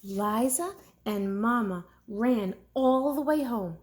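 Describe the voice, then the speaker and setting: A woman reading a storybook aloud like a teacher, expressive and deliberate for young listeners. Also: fairly high pitch, noisy background.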